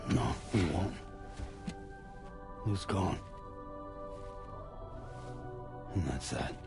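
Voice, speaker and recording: A man's voice: deep voice, slow pace, exaggerated voice. An actor playing a middle-aged grieving father who lost his child, in a video game cutscene, speaking with urgent disbelief. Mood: resigned. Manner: urgent disbelief turning to flat resignation. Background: music score.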